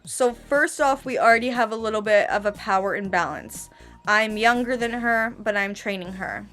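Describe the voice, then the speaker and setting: A woman's voice: mid-range voice, moderate pace, a bit bright, faint music behind. A twenty-one-year-old woman with a slight lisp, ranting about her barista job in a casual vlog, exasperated and slangy, checking in with "okay?" over and over. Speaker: vlogger and barista trainer.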